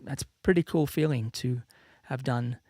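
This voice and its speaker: A man's voice: mid-range voice, moderate pace, no room sound, studio quality. Man with a mixed Australian-American accent. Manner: relaxed, rambling, many fillers and restarts. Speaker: musician hosting a livestream. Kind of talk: casual chat to viewers. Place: home room with a slight added reverb.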